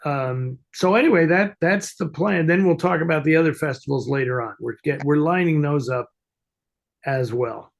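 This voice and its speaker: A man hosting a casual, unscripted talk show, speaking in a halting, discursive way as he searches for his words. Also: on the high side, vocal fry. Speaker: show host, film expert answering fan questions. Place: at home, upstairs room.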